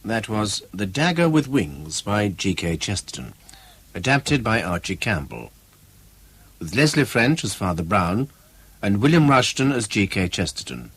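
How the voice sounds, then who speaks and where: A man's voice: fairly low pitch, very animated, a little slow, fairly bright tone, slightly rough, no room sound. A man with a British accent announcing radio programme credits in a formal scripted style.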